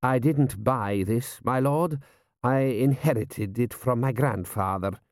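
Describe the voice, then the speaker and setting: A man voicing a dry, deferential army general with a measured, courteous manner in an audiobook. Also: mid-range voice, no room sound.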